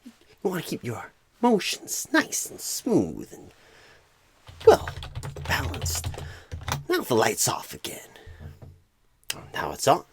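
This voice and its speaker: A man playing a clueless, bumbling job recruiter in a comedic ASMR role-play, rambling with odd pauses and repeated words, flustered but eager. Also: a little high, huge pitch swings, slight background noise, heavily acted. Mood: awkwardly chatty.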